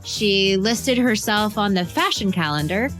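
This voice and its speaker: A woman tells a children's biography in a scripted storytelling style. Her pitch moves expressively and she takes her time, slipping in conversational asides. Light music plays behind her. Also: expressive, a little slow, a bit bright. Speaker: narrator.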